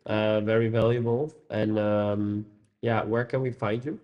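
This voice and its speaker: Casting: Dutch-native male host, lowish voice, halting with lots of "uh" and restarts. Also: flat delivery, dull audio. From Netherlands. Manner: hesitant, many fillers and restarts. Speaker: hypnotherapist and podcast host. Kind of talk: casual interview chat.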